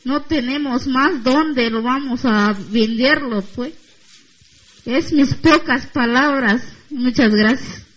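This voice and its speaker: A woman giving a short, halting address at a community gathering, with simple phrasing and pauses. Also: high voice. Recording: dull, muffled recording.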